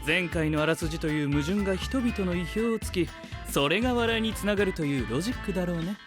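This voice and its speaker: A man's voice: high voice, lively intonation, fast talker. A man playing a pedantic, aloof young scientist knight in a comedic audio drama, speaking in a pompous, wordy, logical way. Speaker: voice actor. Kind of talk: comedic character dialogue. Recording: studio voice over prominent background music.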